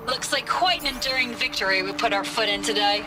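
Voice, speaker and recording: An actress playing a sardonic soldier trading battle banter in a video game. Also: female voice, on the high side, a little fast, lightly acted. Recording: clear music behind the voice.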